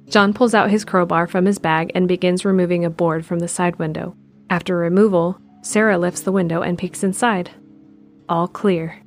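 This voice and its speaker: Lady narrating an audio drama, kinda low voice, calm and steady, quiet music behind. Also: vocal fry. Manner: steady, present-tense stage narration.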